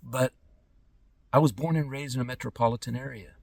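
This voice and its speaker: A middle-aged man speaking an unscripted podcast monologue in emphatic, halting phrases with pointed pauses. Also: medium pitch, steady tone, vocal fry, a little mellow, dry recording, recorded from a distance. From born in Trinidad and Tobago, lived in California. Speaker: podcast host.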